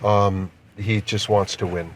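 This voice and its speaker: A man's voice: fairly low pitch, little pitch movement, measured pace. A middle-aged man, a company executive, answering questions in casual conversation during an interview inside a car. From born in Austria, immigrant to Canada. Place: inside a car being driven. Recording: noisy moving vehicle interior.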